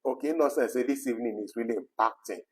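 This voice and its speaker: A man's voice: mid-range voice, fast talker. Guy cohosting an online chat, says "you know" a lot, laid-back and talkative. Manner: chatty, many "you know" fillers, reads out viewer comments. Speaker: co-host of an online talk program. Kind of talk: casual live discussion.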